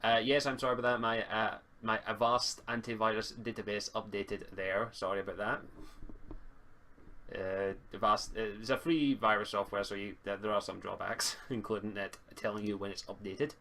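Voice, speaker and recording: Guy with a lowish voice running an online rpg, kinda hesitant and mumbly, bit of mic noise. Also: fairly even intonation, moderate pace, pushed and bright. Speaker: game master. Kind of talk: casual talk running an online tabletop game. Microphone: computer mic on an online voice call. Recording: noisy home computer mic.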